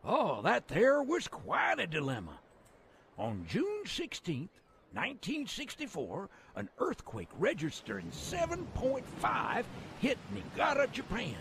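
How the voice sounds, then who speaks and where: Squeaky, creaky folksy American old-timer. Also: male voice, wildly swooping pitch, a little slow, a bit bright, slight background noise, heavily acted. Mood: folksy storytelling. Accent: rural American. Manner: folksy, exuberant, colloquial. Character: folksy excitable old-timer railroad museum guide. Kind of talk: theatrical video game narration.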